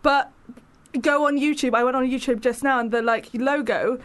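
A woman with a fairly high voice talks as a podcast guest. She is frank and outspoken, with lots of casual fillers. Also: fairly even intonation, normal speed. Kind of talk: casual conversational interview.

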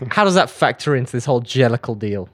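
Man, medium pitch, radio interviewer, dry humour, teasing, very dry acoustic. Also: animated, fairly quick, no room sound. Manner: dry, teasing, mock-sceptical. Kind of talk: casual comedy interview.

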